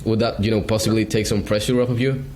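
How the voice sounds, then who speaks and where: A man from Argentina pitching agency services on a video call, hesitant and full of fillers. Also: steady tone, fast talker, noisy background. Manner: hesitant, filler-heavy, casual. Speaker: beginner agency salesman.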